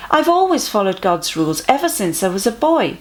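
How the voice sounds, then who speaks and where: A woman's voice: mid-range voice, sing-song, brisk, natural voice. Woman as an eager, gushing rich man. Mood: proud. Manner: gushing, over-keen. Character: eager rich man in a children's Bible story.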